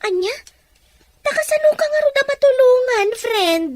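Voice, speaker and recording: High-voiced, caring young friend. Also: female voice, lively intonation, moderate pace, background noise. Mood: surprised. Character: caring, chirpy young woman fretting over her best friend. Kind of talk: radio drama acting.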